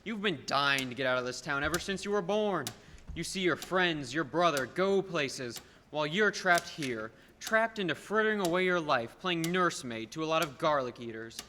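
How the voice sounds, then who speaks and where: Young man playing a bright-voiced, scheming tycoon in an echoey hall. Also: fairly high pitch, animated. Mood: condescending, persuasive. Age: young adult. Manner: patronizing, persuasive, deliberate emphasis. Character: scheming rich businessman, condescending, smooth-talking and domineering. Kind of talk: filmed radio play acting. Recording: echoey hall, background noise.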